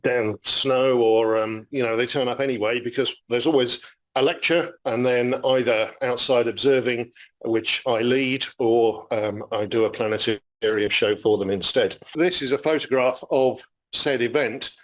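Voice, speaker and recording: A man's voice: medium pitch, fairly even intonation, measured pace, poor audio. A man giving a public astronomy lecture, friendly and explanatory, with frequent fillers as he talks through slides.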